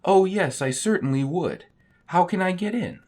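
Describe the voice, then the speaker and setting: A man's voice: sits high, lively intonation, normal speed, a little mellow, pitched above the performer's own voice. A man voicing an eager, naive little boy puppet in a storybook, earnest and exclaiming.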